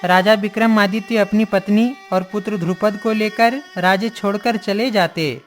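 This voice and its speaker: High-pitched male story narrator. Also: steady tone, moderate pace, soft background music.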